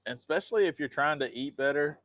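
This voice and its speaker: A man's voice: a little high, quick pace, a bit bright, a little hiss or hum. A man with a Southern Mississippi accent, chatting casually as a cooking show co-host and reading out viewer comments. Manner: laid-back drawl, laughs often, reads viewer comments. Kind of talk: casual chat.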